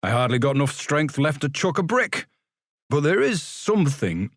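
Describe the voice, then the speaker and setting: A man's voice: a little high, very animated, normal speed, no room sound, voice pushed up. Give me a man doing a plain-spoken young pauper, in a dry close recording. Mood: scheming. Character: streetwise young male pauper, practical.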